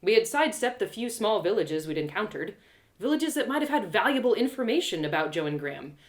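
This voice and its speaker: A woman reading a first-person fantasy story aloud as an expressive storyteller. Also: mid-range voice, a little fast. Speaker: storyteller, audio drama writer and reader.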